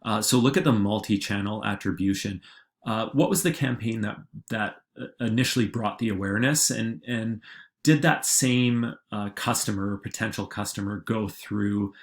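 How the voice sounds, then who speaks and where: A man giving an informal software tutorial as a presenter, explaining in a halting way with frequent filler words. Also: lowish voice. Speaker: digital marketing agency presenter.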